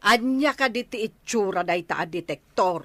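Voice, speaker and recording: A woman's voice: medium pitch, expressive, normal speed, no echo. A woman with an Ilocano Filipino accent playing a doubtful, questioning villager in a radio comedy drama. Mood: skeptical.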